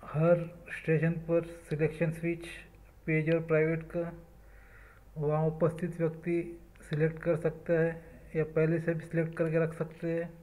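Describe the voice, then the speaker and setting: Guy with a higher voice teaching electronics step by step, super monotone, short phrases. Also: low-quality recording. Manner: speaks in short measured phrases, listing parts. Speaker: electronics instructor. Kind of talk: step-by-step technical explanation.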